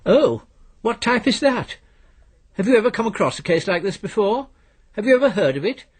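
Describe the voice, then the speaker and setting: A man's voice: high voice, big pitch swings, normal speed, breathy edge, pitched above the performer's own voice, full character voice. A man voicing a pompous middle-aged solicitor, formal, pedantic and easily indignant, in a radio story.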